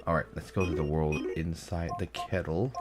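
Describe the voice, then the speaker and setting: A man's voice: extremely low pitch, fairly even intonation, normal speed, loud music behind the voice. A man casually commenting on a game as he plays it, his voice still recovering with a slight cough. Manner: casual, reads game text aloud with filler and asides. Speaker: let's play host.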